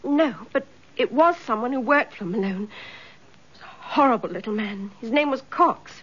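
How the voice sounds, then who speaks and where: Timid young British woman, high voice, earnest, troubled, radio drama, muffled top end. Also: expressive, moderate pace, slightly rough. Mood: remorseful, frightened. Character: anxious, remorseful young woman confessing, timid but earnest. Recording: dull, band-limited radio recording.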